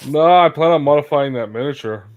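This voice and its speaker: A man chatting casually, halting and stumbling over words, often laughing. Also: on the high side, muffled recording. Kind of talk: casual chat.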